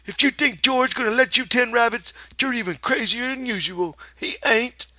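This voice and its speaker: A man voicing a giant imaginary rabbit that sneers and scolds in a high, harsh voice. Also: slow pace, sharp tone, dry recording, full character voice. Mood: scornful. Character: giant scornful imaginary rabbit, male, taunting.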